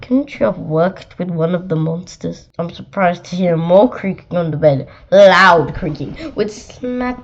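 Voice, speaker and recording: A woman storyteller reading a children's picture book aloud in an expressive, dramatic way. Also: lowish voice, unhurried, hardly any pauses, dull audio, a little hiss or hum.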